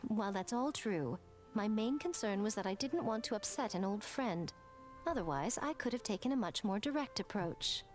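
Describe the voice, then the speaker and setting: An actress playing a sly, teasing, haughty shrine priestess in a video game, drawling with playful condescension. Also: female voice, medium pitch, animated, moderate pace, natural voice. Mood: wry, fond. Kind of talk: scripted video game dialogue. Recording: game music behind the voice.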